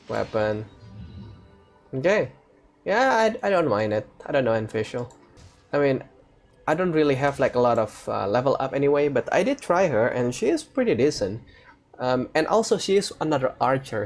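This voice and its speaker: A man's voice: medium pitch, creaky, a little hiss or hum. A man hosting a gaming video, giving casual, off-the-cuff commentary in short reacting phrases.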